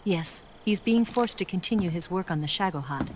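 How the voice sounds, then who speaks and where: Low-voiced, cool female spy, played by a woman. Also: expressive, fairly quick, noisy background, natural voice. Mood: businesslike. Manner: poised, coy. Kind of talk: video game cutscene acting. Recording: night insect ambience behind the voice.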